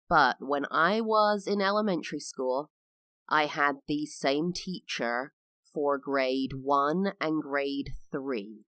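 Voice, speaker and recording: Female podcast host from England, very low pitch, chatty and explanatory, dry booth sound. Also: fairly bright tone, dry recording. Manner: personal, explanatory, with frequent fillers. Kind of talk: solo podcast monologue.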